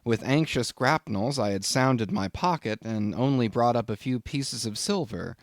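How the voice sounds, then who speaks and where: A man reading a literary audiobook as scripted narration, in an expressive, measured storytelling manner. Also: medium pitch, dry recording, studio quality.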